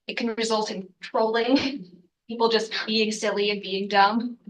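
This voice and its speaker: A woman's voice: mid-range voice, flat delivery, a little slow, reverberant, far from the mic, poor audio. A young adult woman, congested from a cold, giving an earnest student research presentation with frequent ums and uhs. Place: lecture room.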